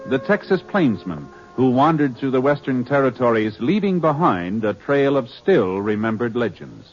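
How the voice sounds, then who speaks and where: A man announces in formal 1950s radio style. His delivery is scripted and dramatic, in a mid-pitched voice. Loud music swells behind him on a dull, vintage recording. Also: big pitch swings, normal speed.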